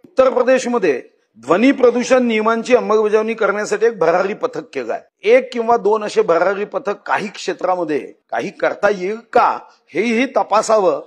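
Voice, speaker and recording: A man's voice: sits high, moderate pace, breathy edge. A man putting formal questions to a minister as a legislator in an assembly.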